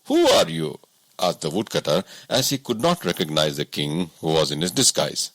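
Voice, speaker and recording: Guy reading a story for an audiobook, husky voice, really lively up and down, dry close sound. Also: rumbling low, moderate pace, no echo. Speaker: volunteer audiobook reader. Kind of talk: scripted audiobook story narration.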